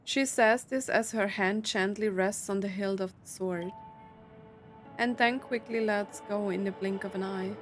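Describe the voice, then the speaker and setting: Woman game streamer musing, mid-pitched. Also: steady tone, unhurried, faint music behind. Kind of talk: casual playthrough reactions, reading game text aloud.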